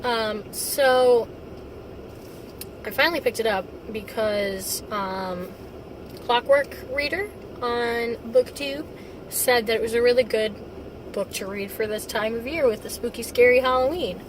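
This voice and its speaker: A young woman with a hoarse voice from recent laryngitis, chatting casually about a book she read while driving, with plenty of fillers. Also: a little high, unhurried, recorded from a distance. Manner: chatty, rambling, many fillers. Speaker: book reviewer. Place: inside a car on the road. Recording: car cabin with loud road noise, off-mic.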